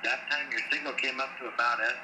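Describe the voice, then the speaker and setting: A man's voice: medium pitch, fairly even intonation, fast. Man over noisy shortwave radio. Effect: radio transmission. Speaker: amateur radio operator. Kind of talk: casual ham radio signal report. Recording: noisy echoey shortwave radio, played through speaker. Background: radio static.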